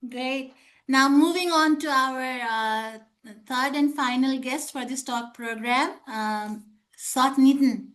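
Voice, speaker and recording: A woman's voice: sits high, takes it slowly, a bit bright. A woman hosting an online talk session in a courteous, formal manner. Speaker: host of a virtual talk session. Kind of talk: polite hosting of a panel. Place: online video call.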